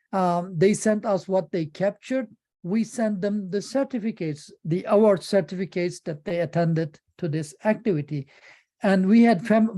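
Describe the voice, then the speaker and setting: A woman's voice: mid-range voice, warm tone. A woman giving an informal presentation about a project as a presenter. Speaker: conference presenter.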